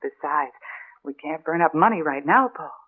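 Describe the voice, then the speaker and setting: An actress playing a chatty young small-town housewife in 1930s radio drama style. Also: female voice, deep voice, expressive, moderate pace, breathy edge. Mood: gently scolding. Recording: tinny, phone-like 1930s radio recording.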